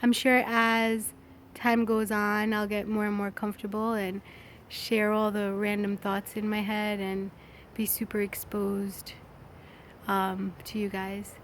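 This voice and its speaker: A woman's voice: mid-range voice, completely flat delivery, slow. A woman in her thirties speaks in a mid-range voice, thinking aloud as she records a personal vlog on her phone. Her delivery is candid, casual and a bit rambling. There is noticeable ambient noise, like vehicles, behind her. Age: 30s. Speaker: vlogger.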